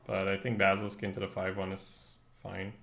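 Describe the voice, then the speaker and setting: A man's voice: low-pitched, monotone, fairly quick, creaky, fairly bright tone. A young man, a native Dutch speaker, giving casual live gameplay commentary, thinking aloud in slangy English as he streams. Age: young adult. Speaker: game streamer. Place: indoor room at a desk, streaming webcam. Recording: roomy echo, faint background noise.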